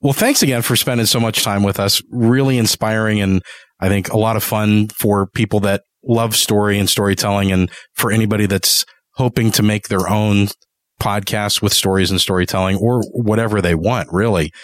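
Male interviewer, fairly low register, informal conversational podcast delivery. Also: fairly even intonation, moderate pace, no room sound, clean studio sound.

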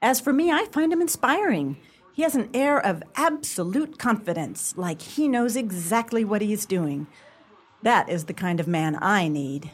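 Woman acting a firm, husky-voiced leading lady. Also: mid-range voice, animated, normal speed, natural voice. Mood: earnest, apologetic. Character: firm, dismissive woman rejecting her suitor. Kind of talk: amateur radio play acting.

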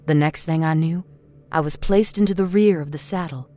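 Woman doing dramatic story narration, lowish voice, soft music underneath. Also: normal speed. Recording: faint music bed.

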